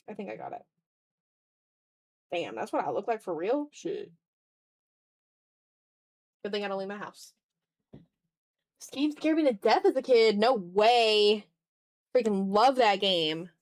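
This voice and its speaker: A woman's voice: medium pitch, animated, slow, long pauses, darkish tone, no room sound. A woman in her twenties chatting casually on an art livestream, muttering to herself while drawing, self-deprecating and casually sweary. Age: 20s. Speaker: art streamer. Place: room at home.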